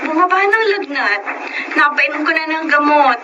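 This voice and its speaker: A woman with a Filipino accent acting a gentle, concerned wife on a phone call in a radio drama. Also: sits high, animated, normal speed. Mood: soft, reassuring. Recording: muffled, very noisy phone line.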